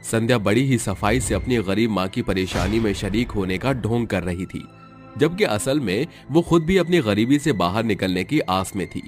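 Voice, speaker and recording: Male narrator, low pitch, scripted dramatic storytelling, background music. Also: animated, moderate pace. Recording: music under the voice.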